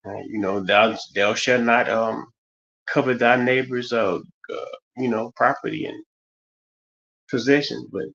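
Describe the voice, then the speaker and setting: A man, talking through a casual stream monologue. His voice sits at an average pitch and wanders through stories with restarts and fillers. The audio is a little dull and lo-fi. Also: steady tone, unhurried, gravelly, a bit bright. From United States. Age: 50s. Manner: rambling, loose, many restarts and fillers. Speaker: stream host.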